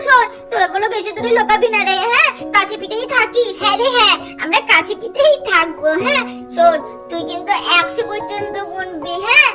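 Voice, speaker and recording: A woman voicing bossy, excitable little doll children in a cartoon story, chatty and insistent, repeating phrases. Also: very high voice, pitched above the performer's own voice. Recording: loud music behind the voice. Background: children's music.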